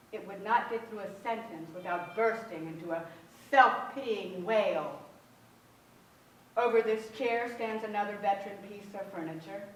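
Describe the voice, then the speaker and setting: A middle-aged woman giving a dramatic literary reading on stage, deliberate and theatrical in her phrasing. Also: on the high side, fairly bright tone, slight background noise. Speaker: stage actress, reader. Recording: very echoey theater, distant microphone.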